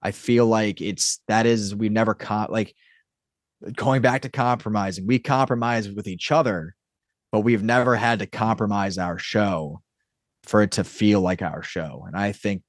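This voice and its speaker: A man with a fairly low voice speaks casually as a podcast guest. He thinks aloud in a rambling, halting way, circling back on his phrases. The sound is dry, with very little room. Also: no echo. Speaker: podcast guest, audio drama creator. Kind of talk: casual interview chat.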